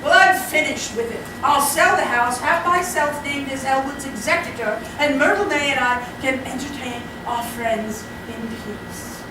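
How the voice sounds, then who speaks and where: Echoey, high-pitched flustered society mother played by an actress. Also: a little slow, hardly any pauses. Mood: fed up. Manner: fretful, emphatic, haughty complaining. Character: flustered, indignant middle-aged society mother, exasperated by her brother. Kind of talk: comic stage play performed live. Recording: echoey, very noisy hall from far off.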